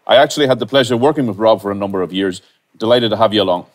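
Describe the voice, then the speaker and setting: A middle-aged man hosting a studio interview, asking brisk questions on a lapel mic. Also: fairly low pitch. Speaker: host. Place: studio with starry backdrop.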